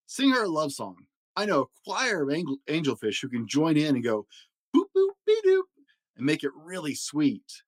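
A man's voice: on the high side, huge pitch swings, moderate pace, no echo. A man voicing a tough, sarcastic but helpful lobster in a children's storybook. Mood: reassuring.

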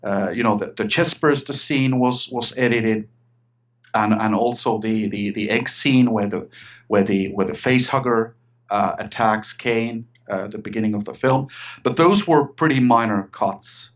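A man's voice: fairly low pitch, unhurried. Eager middle-aged Finnish man, lots of restarts. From Finland. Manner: eager, repeats words, many restarts. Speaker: guest, writer and filmmaker. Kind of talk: casual interview chat.

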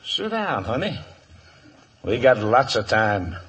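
A swaggering outlaw boss in an old radio Western. His voice is bright, forceful and husky, swinging widely as he bullies and mocks in a drawl. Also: medium pitch, big pitch swings, slow, heavily acted. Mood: taunting. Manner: mocking, drawling, bullying. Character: cocky, taunting Western outlaw gang leader. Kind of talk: 1950s radio Western acting. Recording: old 1950s radio broadcast.

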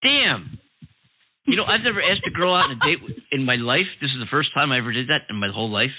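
A man's voice: mid-range voice, animated, fast, pushed and bright, low-quality recording. A man hosting an unscripted comedy talk radio show from a studio, joking and laughing a lot.

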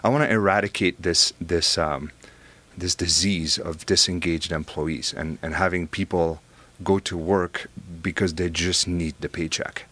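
A middle-aged man from Belgium with a Belgian accent, a guest in a conversational interview, animated and often repeating words as he starts sentences. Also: low voice, measured pace.

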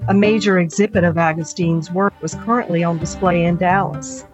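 A woman's voice: lowish voice, steady tone, a little fast, music in the background. A woman writer reading her own short story as scripted literary narration. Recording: dull lo-fi audio, background noise.

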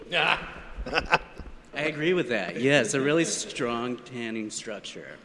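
Reckless drunk policeman acted by a man, loose and animated, middle-pitched, improvised radio comedy with slight background noise. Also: slow, full character voice. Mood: boozy, bossy. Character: boozy, reckless bumbling male police officer. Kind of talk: improvised parody of a radio play.

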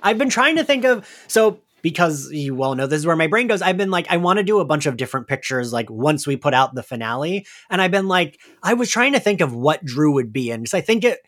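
A man with a fairly high voice and very animated intonation hosts a casual pop-culture podcast. He is enthusiastic and giggly, talking loosely as if among friends. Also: a little fast, few pauses. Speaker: podcast host.

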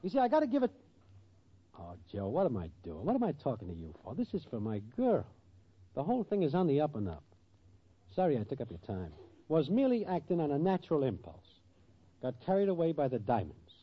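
An actor playing a shifty, slangy small-time schemer of a boyfriend in a 1940s radio comedy, given to grand speeches. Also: male voice, a little high, huge pitch swings, a little fast, husky, heavily acted. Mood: scheming. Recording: muffled, noisy 1940s radio broadcast.

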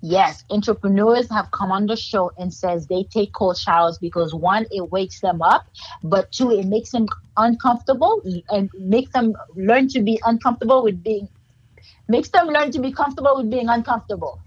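A woman's voice: mid-range voice, moderate pace, slightly rough, muffled recording. A woman with a Haitian accent, a guest in a casual interview, explaining in an upbeat, motivational way.

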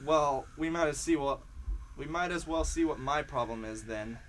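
Brief: excitable homesick boy, played by a man, slightly high voice, faint hiss behind. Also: moderate pace, close to an everyday voice. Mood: curious. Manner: excitable, cries out when startled. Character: young schoolboy Leo, earnest and homesick. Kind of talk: amateur radio drama acting.

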